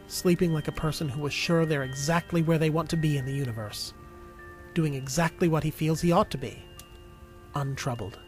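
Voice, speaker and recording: A man reading scripted story narration as a storyteller, in an expressive way. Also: fairly high pitch. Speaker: narrator and voice actor.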